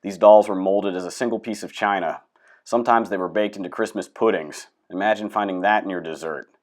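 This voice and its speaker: A man hosting and telling a folk tale in an unhurried, dramatic storytelling manner. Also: low voice, dry recording, clean studio sound. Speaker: host and storyteller.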